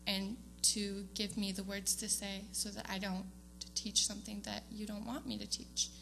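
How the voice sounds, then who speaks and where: A woman's voice: medium pitch, flat delivery, moderate pace, creaky, bright, forceful tone, a little hiss or hum, poor audio. A young woman giving a casual, hesitant speech to her church congregation, with frequent ums and small laughs. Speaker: student giving award speech. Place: church sanctuary.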